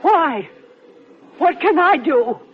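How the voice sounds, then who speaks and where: A woman's voice: high-pitched, animated, takes it slowly, faint music behind. An actress playing a menacing, agitated older woman stranger who pleads and demands in an old-time radio thriller. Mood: suspicious, scornful. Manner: agitated, demanding, swinging between pleading and snapping. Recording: noisy, muffled old radio drama recording.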